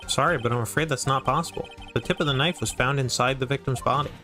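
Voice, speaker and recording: A man playing an earnest, polite defense lawyer in an amateur game fandub, with a measured, explanatory manner. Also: mid-range voice, sharp tone, natural voice. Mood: confident. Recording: music behind the voice.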